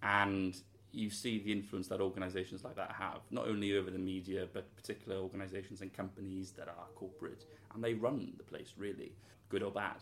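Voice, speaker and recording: A man's voice: deep voice, flat delivery, brisk, hardly any pauses, a bit bright, slight background noise. A young man from Wales, a student answering interview questions in an earnest, thoughtful way, full of hedges and restarts. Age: young adult.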